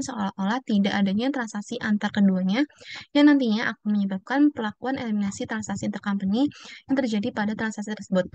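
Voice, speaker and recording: A young woman explains study material at a brisk pace. The sound is very dry and slightly dull, as in a lo-fi student presentation. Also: mid-range voice, darkish tone, no room sound. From Indonesia. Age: young adult. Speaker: university accounting student presenting.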